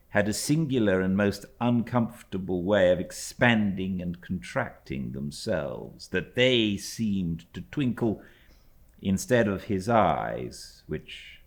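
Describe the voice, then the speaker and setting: A British man narrates literary fiction. His voice sits extremely low, and his intonation moves widely through each sentence. The delivery is that of a seasoned audiobook storyteller. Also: gravelly. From Britain.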